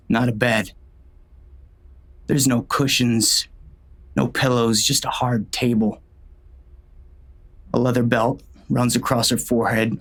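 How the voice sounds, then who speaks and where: Gravelly, grim western sheriff. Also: male voice, mid-range voice, a bit bright. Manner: intense, menacing. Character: grieving, furious hard-bitten western sheriff and father. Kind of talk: first-person audiobook narration, dramatic.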